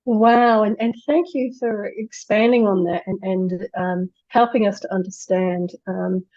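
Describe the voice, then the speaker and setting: Effusive woman host, mellow dark tone, dull lo-fi recording, gushing praise with restarts. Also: mid-range voice, fairly even intonation, measured pace. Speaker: show host. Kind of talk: upbeat interview hosting. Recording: dull lo-fi sound.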